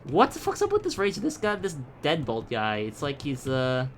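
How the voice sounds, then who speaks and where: A man's voice: on the high side, wildly swooping pitch, moderate pace, a little hiss or hum. A young man from the Philippines giving casual, rambling, profane gameplay commentary full of tangents. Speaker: gaming YouTuber.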